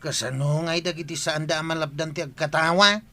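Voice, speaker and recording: A man's voice: a little high, fairly even intonation, brisk, pushed and bright. A man playing a cheeky, argumentative villager in a comedy skit, teasing and protesting.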